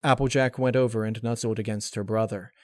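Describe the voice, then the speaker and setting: A man reading a scripted piece of fiction as narrator, in a measured, serious, dramatic storytelling manner. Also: on the low side, steady tone, a little mellow, no room sound, studio quality.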